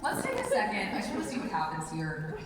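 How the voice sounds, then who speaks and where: A woman hosting a live convention panel in an animated, encouraging manner. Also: lowish voice, fast. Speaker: panel host and table read narrator. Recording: distant, echoey hall, crowd and noise.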